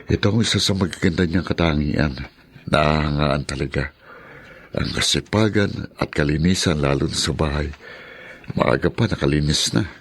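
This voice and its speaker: An actor playing a casual, smooth-talking, evasive husband in a Filipino drama, with a Filipino accent. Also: male voice, very deep voice, normal speed, full character voice. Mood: admiring, musing. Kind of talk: Filipino radio drama acting.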